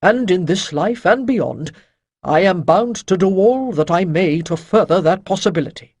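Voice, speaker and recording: A reader voicing a calm male mage who speaks in formal, measured, old-fashioned phrases. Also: mid-range voice, dry recording, studio quality. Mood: solemn. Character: calm, lofty male mage under strain.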